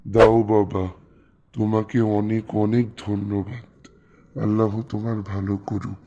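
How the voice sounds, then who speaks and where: A man's voice: lowish voice, little pitch movement, unhurried, mellow, slight background noise. A man playing a poor, suffering older man in a cartoon, pleading for help. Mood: grateful. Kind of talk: slow cartoon dialogue. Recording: muffled, with faint music behind.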